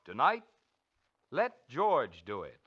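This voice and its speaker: A man's voice: medium pitch, wildly swooping pitch, slow pace, noisy background. A man acting as a theatrical, emphatic announcer of a 1940s radio mystery show. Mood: dramatic. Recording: dull vintage radio recording, faint music.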